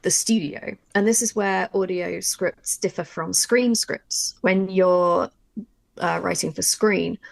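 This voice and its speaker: Woman, low creaky voice, chatty workshop explainer, informal asides, dry close sound. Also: fairly even intonation, slow, no echo. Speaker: workshop co-presenter and audio drama writer. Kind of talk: casual explanatory workshop talk.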